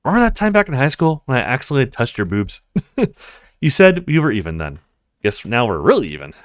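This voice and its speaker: A man's voice: mid-range voice, wildly swooping pitch, fast talker, no room sound. A man voicing an easygoing, kind young male student in a visual novel, casual, reassuring and lightly joking.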